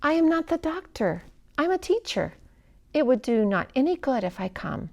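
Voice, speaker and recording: A woman's voice: high-pitched, sing-song, moderate pace, vocal fry, a little mellow, pitched above the performer's own voice, exaggerated voice. A woman voicing an earnest, emphatic young woman in a storybook, reluctant but wanting to help. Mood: firm, distressed refusal. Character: earnest young American missionary's daughter, reluctant but helpful. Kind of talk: storybook character reading.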